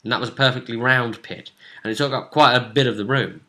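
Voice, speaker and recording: Bright-voiced man recapping a role-playing game. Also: mid-range voice, quick pace. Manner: explanatory, often ending on tag questions. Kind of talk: casual conversational game recap.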